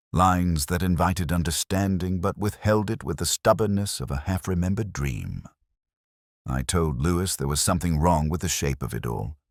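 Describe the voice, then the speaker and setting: An extremely low male voice from an actor carries a weary, brooding older police inspector through his own case notes. The delivery is measured, sombre and literary, as in a scripted detective audio drama. The recording is dry and close, with very little room sound. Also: no room sound, full character voice. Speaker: narrator.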